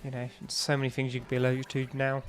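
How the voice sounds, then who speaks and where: A man's voice: medium pitch, completely flat delivery, fast. A young man with a stuffy, bunged-up nose, chatting casually and rambling with lots of ums while he streams a game. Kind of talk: casual live-stream chat while playing.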